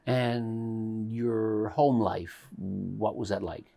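A man's voice: on the low side, steady tone, extremely slow, vocal fry, warm tone, faint music behind. A man interviewing a guest, asking short questions and offering brief encouraging replies.

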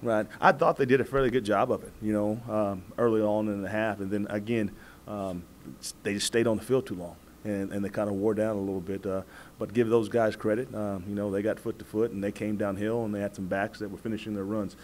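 An American man in his forties, a football coach answering press questions in a measured, halting way, repeating words as he goes. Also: on the low side, steady tone, darkish tone, a little hiss or hum. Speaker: college football head coach. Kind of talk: postgame press conference answers. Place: indoor press room at a table before a backdrop. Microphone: several press microphones on the table.

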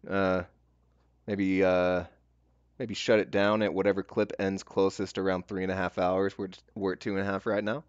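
A man's voice: low-pitched, level tone, normal speed, smooth, no echo, dull audio. A male livestream host thinking aloud hesitantly about his stream. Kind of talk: casual stream aside.

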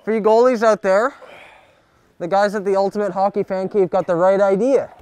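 A young man outdoors giving a jokey sports tutorial, coaching in a mock-serious, deadpan way full of hockey slang. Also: sits high, normal speed, clean tone. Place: backyard, outdoors. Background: music.

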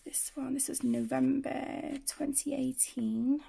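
A woman with the flu, croaky and often half-whispering, casually showing her finished colouring pages as the channel host. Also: sits high, steady tone, takes it slowly, mellow. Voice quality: hoarse, often drops to a whisper. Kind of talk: casual flip-through commentary. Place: indoors at a table.

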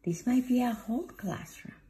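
A woman preschool teacher reading a picture book aloud to young children in a sing-song, drawn-out way. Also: a little high, warm tone. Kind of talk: children's storybook read-aloud. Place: home dining room, indoors.

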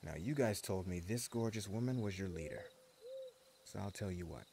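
A deep, gravelly-voiced gang leader of the wasteland, smug and cruel, who taunts his captives in a mock-friendly drawl, as a man acts him out in an audio roleplay. Faint crickets and night ambience hum behind him. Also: moderate pace. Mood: taunting. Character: menacing male post-apocalypse gang leader, smug and cruel. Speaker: voice actor.

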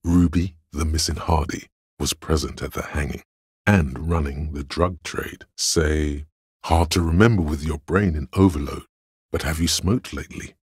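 A man voicing a cool, analytical inner voice of reason in a video game, measured, deliberate and dryly sardonic, with a husky tone. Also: dry recording, studio quality, exaggerated voice. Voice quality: very deep, gravelly husky. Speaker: voice actor.

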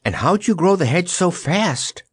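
A man's voice: mid-range voice, huge pitch swings, measured pace, husky, pitched above the performer's own voice, full character voice. A man voicing a curious young girl traveller in a storybook, calling out her questions. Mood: curious, urgent.

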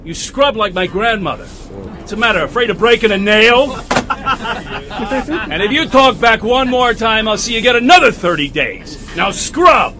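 An actor playing a bullying military guard in a radio drama, barking orders and taunting. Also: male voice, sits high, expressive, unhurried. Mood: harsh, taunting.

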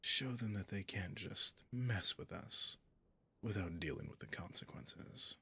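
A man's voice: low-pitched, bright, forceful tone, heavily acted. A man voicing a possessive, gloating demon in an ASMR roleplay, seductive and menacing, often slipping into a whisper. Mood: wicked, teasing. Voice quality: gravelly, breathy, often whispering. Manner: slow, seductive, menacing, condescending.

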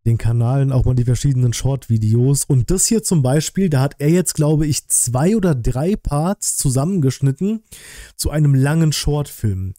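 Guy in his 30s, streamer chatting casually, really mellow dark tone, dry room close mic. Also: medium pitch, moderate pace, no room sound. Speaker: streamer hosting a reaction stream. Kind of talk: casual livestream chat. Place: small indoor home streaming room. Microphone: close desk microphone on an arm.

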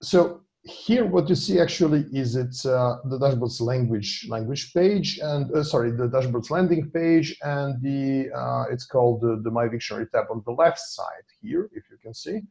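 Halting man with a gravelly voice. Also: mid-range voice, lively intonation, warm tone. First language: Serbian. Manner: halting, many fillers and restarts while clicking through a screen. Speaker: data scientist presenting a dashboard. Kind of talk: screen-share presentation at an online meeting. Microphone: video call.

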